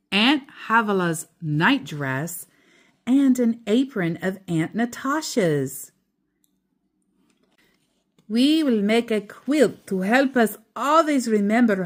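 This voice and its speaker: Slow-paced woman reading a storybook aloud. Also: mid-range voice, a bit bright. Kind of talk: children's read-aloud with casual asides.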